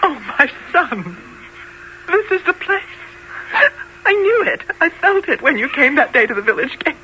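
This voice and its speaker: Radio-play mother: emotional, heartfelt, sharp-edged and very animated, on a dull old recording. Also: high-pitched, moderate pace, heavily acted. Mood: tearful joy. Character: Mowgli's tender, tearful village mother, middle-aged. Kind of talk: old-time radio drama acting. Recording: muffled radio recording with faint noise.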